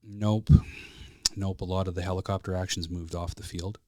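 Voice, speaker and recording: A man's voice: low voice, little pitch movement, moderate pace, noisy background. A plain-spoken man in his fifties from Alberta with a Canadian accent, chatting casually and telling stories as a podcast guest in a studio. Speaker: podcast guest, flight service specialist. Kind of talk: casual conversational storytelling.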